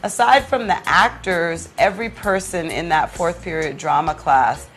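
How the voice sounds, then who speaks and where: Female teacher guest, lowish voice, earnest conversational explaining, faint music underneath. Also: normal speed, fairly bright tone. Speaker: drama teacher, interview guest. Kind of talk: conversational interview.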